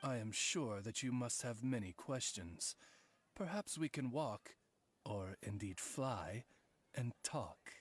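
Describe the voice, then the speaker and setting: An actor voicing a polite, erudite raven servant in a video game, speaking in formal, measured phrases. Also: male voice, mid-range voice, expressive, breathy edge. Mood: courteous, composed. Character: courteous, erudite male talking raven, loyal servant.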